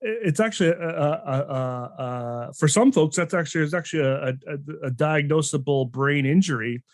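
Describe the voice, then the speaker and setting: A man's voice: fairly high pitch, a little fast, no echo, studio quality. A man hosting a podcast interview, talking casually in a rambling way with many restarts and fillers. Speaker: podcast host.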